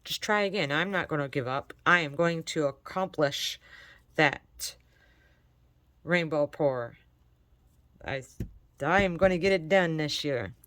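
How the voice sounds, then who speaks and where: A woman from Michigan chats through a crafting tutorial in a friendly, encouraging way. Her voice is lowish and a little congested from allergies. The sound is dry and close to the mic. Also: a little slow, pauses often, a bit bright, no room sound. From Michigan, USA. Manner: chatty, encouraging, talks while working. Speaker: crafting channel host. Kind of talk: casual crafting tutorial chat.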